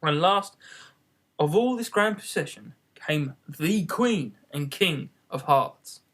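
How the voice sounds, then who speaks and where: Male audiobook reader, expressive storybook narration, very varied intonation. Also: a little high, takes it slowly.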